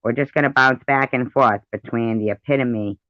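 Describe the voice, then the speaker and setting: A man's voice: mid-range voice, steady tone, moderate pace, pushed and bright, dry recording. A man hosting a casual commentary, reacting in a blunt, indignant way. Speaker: host of a commentary stream. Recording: narrow tinny phone-like sound, dry.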